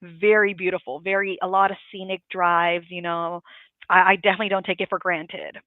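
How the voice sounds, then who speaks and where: A woman's voice: on the low side, little pitch movement, fairly quick, dry recording. Gushing woman host on a tinny line. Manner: effusive, gushing, rambling with many fillers. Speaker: interview host. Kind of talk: casual live interview. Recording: dry, tinny phone-like line.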